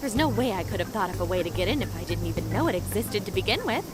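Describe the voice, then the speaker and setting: A woman's voice: medium pitch, expressive, fast talker, lightly acted. Woman acting a bubbly, swooping eccentric scientist. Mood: fascinated, musing. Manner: breezy, enthusiastic, chatty. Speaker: voice actor in game dub. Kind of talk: animated English game dub acting. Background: music.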